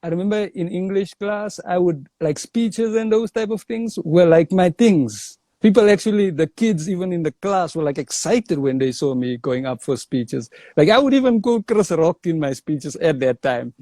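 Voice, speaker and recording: A young South African comedian in casual interview chat, telling stories in a lively way and saying "bruh". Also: male voice, high voice, moderate pace, hardly any pauses, no echo. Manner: animated storyteller, says "bruh". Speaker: comedian guest.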